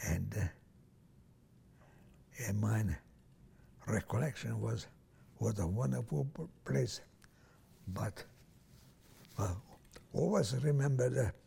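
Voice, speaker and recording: Older gravelly Hungarian-born man, halting. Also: mid-range voice, dark tone. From Transylvania, Romania. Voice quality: gravelly, husky, hesitant with many restarts. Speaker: interviewee. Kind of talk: oral history interview.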